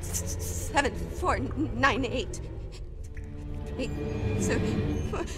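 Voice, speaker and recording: A woman playing a terrified, fragile female soldier having a breakdown in a video game, halting and panicked. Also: a little high, animated, over music. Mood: shaky, calming. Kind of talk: dramatic video game acting.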